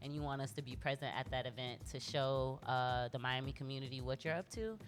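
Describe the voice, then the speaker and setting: A woman's voice: rumbling low, a little fast, soft background music. A woman hosting a community radio show, chatting casually and reflectively, heartfelt and informal as she searches for words.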